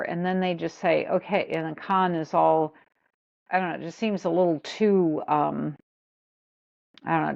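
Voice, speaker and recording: A woman with a lowish, gravelly voice meanders through her thoughts in a casual podcast chat. Her sound comes over a dull, lo-fi line. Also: a little mellow. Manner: meandering, thinking aloud. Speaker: podcast co-host.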